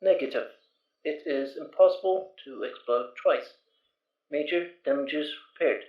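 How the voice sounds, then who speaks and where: A man's voice: on the high side, expressive, measured pace. A man voicing the computer of a protective suit, speaking in clipped robotic announcements. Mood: stiff. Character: flat-spoken male computer voice of a suit. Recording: roomy, distant, lo-fi amateur mic.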